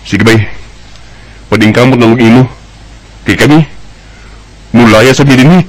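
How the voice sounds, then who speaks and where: A man's voice: medium pitch, normal speed, lightly acted, rough recording. A man with a Bisaya accent playing a hungry, grumbling young labourer and loyal workmate in a radio drama. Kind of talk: amateur radio drama dialogue.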